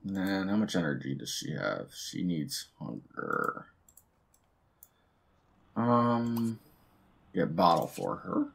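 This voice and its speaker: A man with a hoarse voice from a cold, casually commenting on his gameplay as a let's play host, musing half to himself. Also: low-pitched, slow, long pauses. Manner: relaxed, musing half to himself with soft hums.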